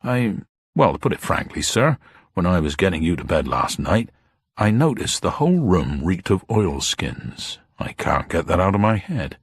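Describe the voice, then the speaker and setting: Man as a husky, watchful police superintendent. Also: deep voice, very animated, moderate pace, no echo, full character voice. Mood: polite, suspicious. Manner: deferential but probing. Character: police superintendent, polite, watchful, doggedly suspicious plainclothes man.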